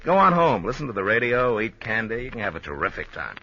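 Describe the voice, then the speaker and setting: An actor playing a smooth-talking, menacing gambler in a 1950s American radio crime drama, with slangy, coolly persuasive delivery. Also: male voice, lowish voice, very animated, fast talker, pushed and bright, exaggerated voice. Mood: smooth, goading. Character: smooth-talking male gambler turned cold, menacing gunman. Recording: muffled, noisy old 1950s radio recording.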